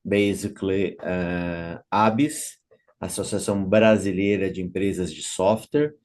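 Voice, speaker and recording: A man with a Brazilian Portuguese accent opens an online business event in English. His voice sits very low and he moves slowly, stopping often on filler sounds. It comes through a video call. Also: fairly even intonation, darkish tone. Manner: halting, many filler pauses. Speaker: event host and committee coordinator.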